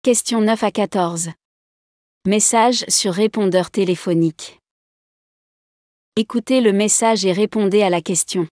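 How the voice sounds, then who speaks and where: Female test narrator, scripted announcements, mid pitch, dry close recording. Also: unhurried, long pauses, a little mellow, no room sound.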